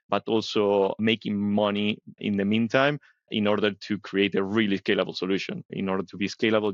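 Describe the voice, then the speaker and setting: A man's voice: on the low side, no echo, muffled recording. A middle-aged man from Argentina, a biotech company CEO, answering interview questions thoughtfully and often checking in with "right?". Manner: thoughtful, explains with frequent "right?".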